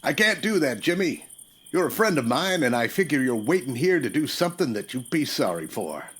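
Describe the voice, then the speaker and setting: A man playing a steady, weary Old West lawman in a radio-style western, husky, with an American accent and a measured, laconic delivery. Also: medium pitch. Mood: firm, calming.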